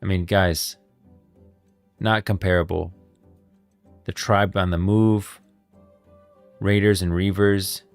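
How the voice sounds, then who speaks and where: A man's voice: sits low, level tone, slow, long pauses, clear, resonant tone, no echo. A man giving casual, enthusiastic livestream commentary on game lore, emphatic and opinionated. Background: background music.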